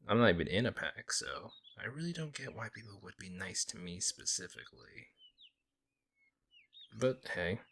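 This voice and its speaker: A man playing a friendly young werewolf, a lone wolf who talks casually and teases gently in an ASMR audio roleplay. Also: low-pitched, huge pitch swings, moderate pace, vocal fry, slight background noise, heavily acted. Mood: casual, musing. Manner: casual, hesitant musing, gently teasing. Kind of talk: ASMR audio roleplay, intimate conversational acting.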